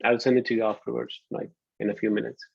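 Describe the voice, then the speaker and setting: A man from Pakistan in his thirties giving a relaxed online university lecture, often pausing with ums. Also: mid-range voice, gravelly, breathy edge, no echo. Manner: relaxed, hesitant with frequent ums. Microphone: computer mic on a video call. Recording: dull lo-fi video call audio.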